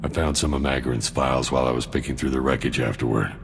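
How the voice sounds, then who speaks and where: Very deep, gravelly, wry mercenary soldier. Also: male voice, steady tone, fast talker, music in the background. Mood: dry, businesslike. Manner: wry, sardonic, laid-back. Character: cynical, greedy mercenary soldier, alien arms dealer with dry humour. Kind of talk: video game cutscene dialogue.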